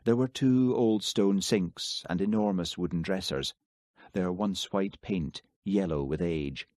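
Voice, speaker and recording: A man narrating an audiobook in a measured, even storytelling manner. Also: low voice, no room sound, clean studio sound. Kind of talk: scripted narration.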